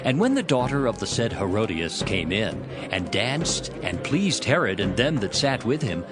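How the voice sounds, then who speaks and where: A man narrating a dramatized scripture production with measured, expressive storytelling. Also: lowish voice. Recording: music behind the voice. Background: prominent background music.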